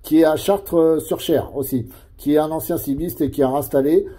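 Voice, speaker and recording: A man's voice: on the high side, moderate pace, warm tone, breathy edge. A man chatting casually as a CB radio operator, hesitant and conversational, using radio jargon.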